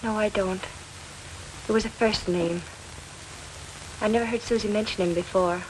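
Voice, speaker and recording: A woman playing a worried, earnest accused woman who recalls events in a hesitant, quiet way, in 1940s film style. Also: medium pitch, steady tone, moderate pace, background noise, lightly acted. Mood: subdued.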